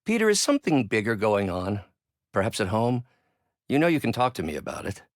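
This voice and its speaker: A man's voice: on the low side, sing-song, brisk, no echo, clean studio sound. A male actor voicing a brilliant, bitter middle-aged scientist turned vengeful villain in a video game, with articulate, theatrical delivery. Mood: gentle, weary. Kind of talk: dramatic video game acting, isolated voice lines.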